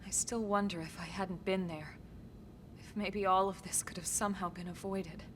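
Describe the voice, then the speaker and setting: An actress playing a calm, capable military officer in a science fiction video game, speaking in a serious, level-headed and precise way. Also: female voice, medium pitch, moderate pace, a little hiss or hum, close to an everyday voice. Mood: regretful. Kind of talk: video game cutscene acting, briefing dialogue.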